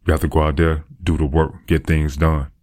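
A man hosting his own podcast, talking earnestly and encouragingly in a deep voice, repeating phrases to drive them home. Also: level tone, normal speed, darkish tone, no room sound, clean studio sound. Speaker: podcast host. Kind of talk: motivational monologue.

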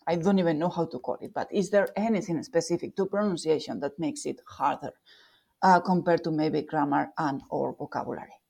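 A woman with a Spanish accent, a researcher being interviewed, explaining her work thoughtfully with fillers and restarts. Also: fairly low pitch. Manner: thoughtful, with fillers and self-corrections. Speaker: guest researcher.

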